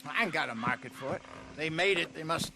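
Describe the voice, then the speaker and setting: An actor playing a wry, smooth-talking older American outlaw in a video game, with theatrical, mock-courteous patter. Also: male voice, fairly high pitch, lively intonation, fairly quick, sharp tone, a little hiss or hum, heavily acted. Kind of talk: video game cutscene acting.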